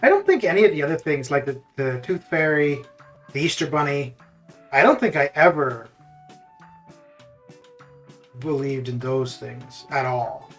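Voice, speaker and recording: A live streamer of middling pitch, chatting casually and often amused at their own remarks. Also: normal speed, fairly bright tone. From Canada, born in the Caribbean. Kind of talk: casual chat while streaming. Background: music with guitar.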